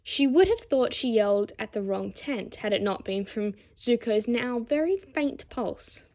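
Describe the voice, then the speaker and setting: A woman's voice: fairly high pitch, lively intonation, unhurried, darkish tone, low-quality recording. A woman narrating a scripted fan story expressively, with wry, dramatic emphasis as an amateur reader.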